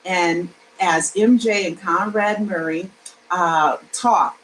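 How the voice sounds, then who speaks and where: A woman's voice: lowish voice, fairly even intonation, slow, a bit bright, slight background noise, low-quality recording. Woman casually reading her own screenplay. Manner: informal, stops to explain and correct herself. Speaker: screenplay reader.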